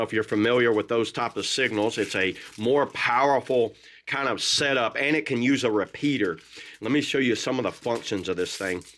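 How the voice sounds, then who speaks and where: A man's voice: medium pitch, fairly bright tone. A middle-aged man giving a casual hands-on product review in informal, folksy phrasing. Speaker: gear reviewer, host. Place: indoors at a desk.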